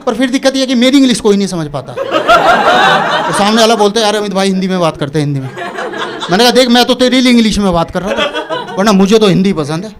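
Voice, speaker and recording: A man from Uttar Pradesh tells jokes in a stand-up set, his voice sitting high. He acts out little scenes in quick dialogue. Heavy noise sits under the recording. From Uttar Pradesh, India. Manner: animated storytelling, acting out dialogue. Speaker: stand-up comedian. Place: stage with audience laughter.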